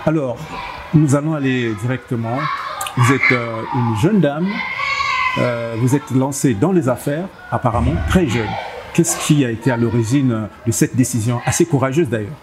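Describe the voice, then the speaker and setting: A man hosting a TV interview in a measured way, often adding short acknowledgements. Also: medium pitch. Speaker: interviewer host. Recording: steady background noise.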